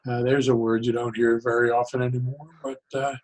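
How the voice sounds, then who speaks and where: A man in his sixties or older chatting as an interview guest, halting and reflective, often pausing mid-sentence. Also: mid-range voice, little pitch movement, darkish tone, poor audio. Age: 60s or older. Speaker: podcast guest, psychologist and humor speaker. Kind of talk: casual interview chat.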